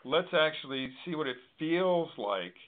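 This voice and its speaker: A man hosting a conversational talk show, with halting, hesitant phrasing. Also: a little high, lively intonation. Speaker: host. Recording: thin phone-line sound.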